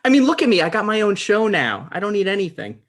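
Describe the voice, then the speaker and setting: A man's voice: high voice, big pitch swings, quick pace, fairly bright tone. A man playing an awkward, self-important online show host in a comedy table read, stammering a little. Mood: eager.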